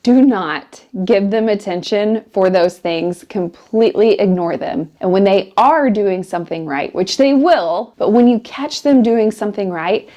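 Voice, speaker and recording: A woman's voice: medium pitch, unhurried, hardly any pauses, mellow. A woman teacher with a mid-range voice talks straight to the camera. She is upbeat and practical, sharing classroom tips with fellow teachers. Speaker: elementary teacher.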